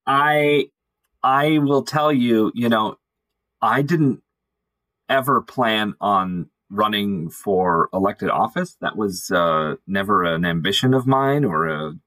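A man's voice: fairly low pitch, takes it slowly, a bit bright, no room sound, rough recording. A man speaking as a podcast guest, telling personal stories in a casual, conversational way.

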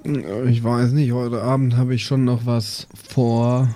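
A man's voice: mid-range voice, level tone, slow, warm tone. A man role-playing in an improvised game, speaking in a sleepy, hesitant drawl. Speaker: player in a pen and paper audio show. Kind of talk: improvised in-character role play.

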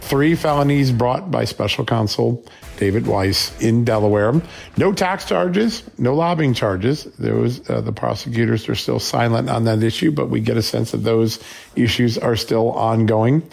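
A man hosts a political news commentary show in a gravelly, fry-edged voice. He is a little scratchy from a flu and speaks in emphatic, punchy statements. His pitch sits in an ordinary middle range for a man. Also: moderate pace, a little mellow, faint music behind. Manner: emphatic, builds points with short punchy questions.

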